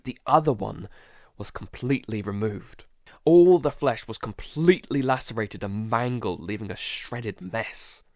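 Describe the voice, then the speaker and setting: A haunted survivor played by a man records an audio-drama diary at a slow, heavy pace. He is traumatised and frightened, speaking haltingly with stammers and sighs. The sound is dry and close. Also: mid-range voice, no echo, natural voice.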